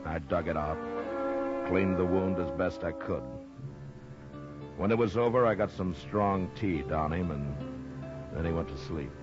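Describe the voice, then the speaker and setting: An actor playing a steady, compassionate frontier marshal in an old time western radio drama. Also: male voice, low-pitched, unhurried, pauses often. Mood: narrating. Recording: muffled old radio recording with music.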